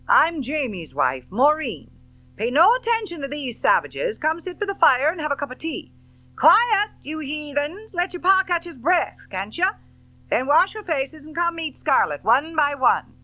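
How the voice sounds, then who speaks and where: A woman voicing a stout, warm-hearted, bossy housewife in an audiobook novel, hearty and calling out over a noisy household. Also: high-pitched, very animated, measured pace, no echo, voice pushed up, heavily acted. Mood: bossy scolding.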